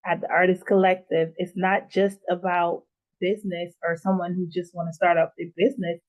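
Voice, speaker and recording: A woman from Guyana, a guest founder, talking in an earnest interview manner with pauses and fillers. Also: mid-range voice, a little mellow, low-quality recording. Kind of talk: casual interview talk.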